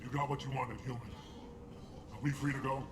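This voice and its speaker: A man's voice: mid-range voice, steady tone, fairly quick, natural voice. A man voicing a menacing, suspicious alien thug in a video game, speaking in a threatening sneer. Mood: wary, scornful. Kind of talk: video game villain acting. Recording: loud music over the voice.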